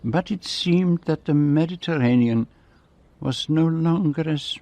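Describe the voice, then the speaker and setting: An actor playing a courteous, wry old Belgian detective with a French-speaking accent, speaking precisely and formally in a radio drama. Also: male voice, fairly high pitch, unhurried, a little mellow, no echo. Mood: wry, reflective. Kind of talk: radio drama dialogue and narration.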